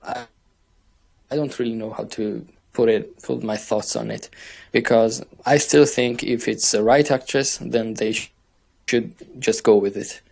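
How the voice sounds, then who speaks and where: A man with a Georgian accent speaks slowly and haltingly, hunting for English words and stopping to restart his phrases. His voice sits at an ordinary middle pitch for a man. He talks in a relaxed way, as a guest on a casual podcast. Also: gravelly. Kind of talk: casual interview chat.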